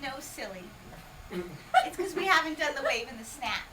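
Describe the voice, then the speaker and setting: High, lively woman magician doing playful patter. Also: moderate pace. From Hawaii. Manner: playful teasing, quizzes the audience. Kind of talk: comic magic patter for a live audience. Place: parlour room before a small audience. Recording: distant mic with steady background noise.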